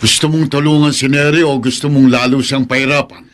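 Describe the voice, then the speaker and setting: A man's voice: medium pitch, steady tone, fairly quick, slight background noise, lightly acted. A man playing an angry, protective village father, accusing and warning a neighbor in a radio drama.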